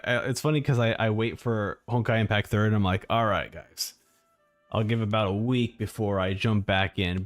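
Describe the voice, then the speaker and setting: A man chatting casually and thinking aloud as a live streamer reacting to game trailers. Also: on the low side. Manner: rambling, informal, thinking aloud.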